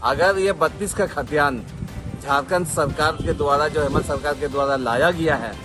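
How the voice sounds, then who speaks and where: A man with a somewhat high voice gives an unscripted political statement. His phrasing is halting and repetitive, and music plays clearly behind him. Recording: music over outdoor clip.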